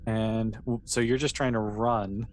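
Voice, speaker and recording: A game master narrates a tabletop session in a casual, conversational manner. The delivery is mid-pitched and a little hesitant, with a reassuring way of explaining things. There is clear noise in the background. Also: steady tone, a little slow.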